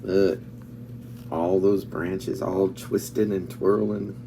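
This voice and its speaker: A man reading a children's picture book aloud in an expressive, dramatic way, with asides to young listeners. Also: deep voice, slow pace. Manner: expressive, dramatic, exclaiming and dropping to hushed tones. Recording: distant mic with clear background noise.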